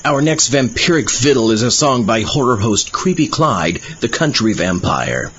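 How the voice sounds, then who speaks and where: A man's voice: mid-range voice, very animated, normal speed, fairly bright tone. A man hosting a horror podcast in vampire character. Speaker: podcast host. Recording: dull lo-fi with noticeable background noise.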